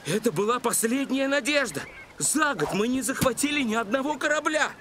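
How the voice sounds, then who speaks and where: A man voicing a fed-up, grumbling pirate crewman in an animated film. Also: extremely high pitch, fairly quick, noisy background. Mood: exasperated.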